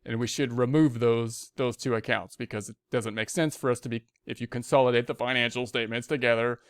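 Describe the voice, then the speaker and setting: Male instructor, medium pitch, crisp and smooth, explains patiently through restatement in an informal lecture style. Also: fairly even intonation, a little fast, no echo, clean studio sound. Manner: repeats and rephrases step by step. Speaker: accounting instructor. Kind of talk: explanatory lecture, loosely scripted.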